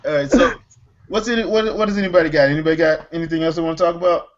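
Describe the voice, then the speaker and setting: A man's voice: a little high, steady tone, very fast, muffled recording. A man hosting a casual gaming chat in a slangy, easygoing, joking way. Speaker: podcast host.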